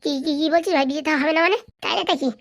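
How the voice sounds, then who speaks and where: A woman's voice: high-pitched, very fast, no echo, clean studio sound. A woman voicing a timid, gullible village boy who stammers and whines nervously. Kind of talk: comic cartoon voice acting.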